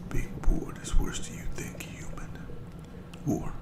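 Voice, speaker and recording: A performer voicing a cruel, playful, ageless monster in a whispered audio roleplay, with a breathy, creaky voice and a slow, taunting, savoring delivery. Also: echoey room, far from the mic, close to an everyday voice. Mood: teasing.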